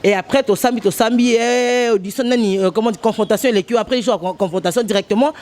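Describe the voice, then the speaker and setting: Congolese woman journalist, interview guest, forceful and halting, mixes French with Lingala. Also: fairly high pitch. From DR Congo, Kongo Central. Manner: emphatic, hesitant, switches between French and Lingala.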